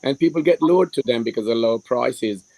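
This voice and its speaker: A hoarse man on a video call panel, his voice gravelly with fry. He talks hesitantly with plenty of fillers, and the sound is close and dry. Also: mid-range voice, animated, moderate pace, a little mellow, dry recording, low-quality recording. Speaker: hair restoration surgeon, panelist. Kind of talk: live stream panel discussion.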